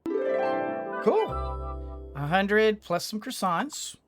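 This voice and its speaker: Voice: medium pitch, very animated, extremely slow, loud music behind the voice. A gamer giving casual let's play commentary, thinking aloud and often repeating words. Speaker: gaming video host.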